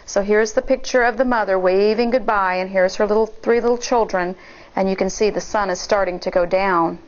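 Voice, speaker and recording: A woman teacher reading a picture book aloud to children in a deliberate, explanatory way. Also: medium pitch, fairly even intonation, muffled recording, slight background noise. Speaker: elementary school teacher, story reader. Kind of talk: storybook read-aloud with asides to children.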